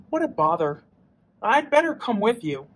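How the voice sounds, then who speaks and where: A woman's voice: mid-range voice, sing-song, unhurried, breathy edge. A woman voicing a put-out female duck or goose with squawky, exasperated questions in a children's storybook read-aloud. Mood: annoyed, grudging. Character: put-out female storybook waterfowl, quacking and honking.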